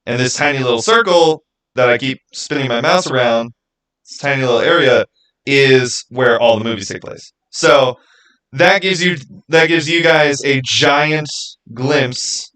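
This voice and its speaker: A man hosting a livestream, talking informally and haltingly as he thinks aloud and answers his viewers. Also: mid-range voice, pushed and bright, low-quality recording. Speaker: streamer hosting a live channel Q&A. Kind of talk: casual livestream chat, answering viewer comments.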